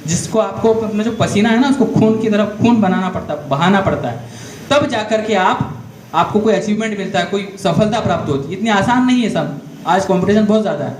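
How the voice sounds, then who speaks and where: A man's voice: sits high, darkish tone. A man giving a motivational career guidance talk to school students, often asking the class questions. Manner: addresses the audience with frequent direct questions; storytelling, explains step by step. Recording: echoey room, faint background noise.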